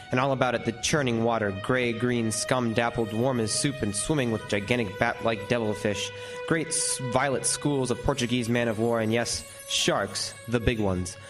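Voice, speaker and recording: A man's voice: medium pitch, natural voice. Man acting a vivid storytelling lighthouse keeper. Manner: vivid, deliberate storytelling with lingering descriptions. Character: lighthouse keeper recounting a frightful night. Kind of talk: dramatic first-person narration, old-time radio horror.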